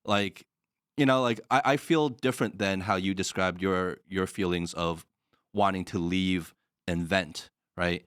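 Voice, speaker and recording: Earnest low-voiced man, smooth tone. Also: steady tone, measured pace, fairly bright tone, no room sound, clean studio sound. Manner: earnest, heavy use of "like". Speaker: podcast co-host. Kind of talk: casual podcast chat. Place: studio in Shanghai.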